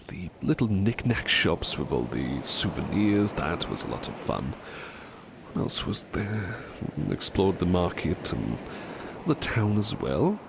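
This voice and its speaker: A man playing a devoted, polite butler in an ASMR roleplay, gushing with curious delight in genteel, halting phrases. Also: low-pitched, vocal fry, noisy background, full character voice. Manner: genteel, effusive, halting with repeated words. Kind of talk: ASMR roleplay, personal attention to the listener.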